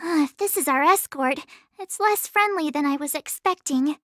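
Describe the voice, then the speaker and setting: A woman voicing a perky, excitable young traveler girl in a video game, chatty, dramatic and informal. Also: sits high, normal speed, no room sound, clean studio sound, natural voice. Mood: alarmed. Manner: expressive, girlish, informal. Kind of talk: animated video game voice acting.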